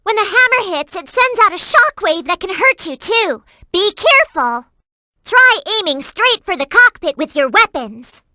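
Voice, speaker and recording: A woman's voice: very high-pitched, big pitch swings, normal speed, bright, forceful tone, dry recording, studio quality, full character voice. A woman voicing a perky, earnest and bossy young girl companion in a video game, with emphatic delivery. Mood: urgent, alarmed. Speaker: in-game hint-giving companion.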